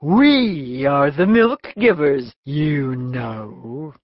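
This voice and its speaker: A woman's voice: low voice, expressive, drawn-out words, no echo, dull audio, exaggerated voice. A woman playing a snooty, irritable milk cow in a children's audiobook, pompous and condescending, drawling her words. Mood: haughty. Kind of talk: full-cast children's audiobook acting.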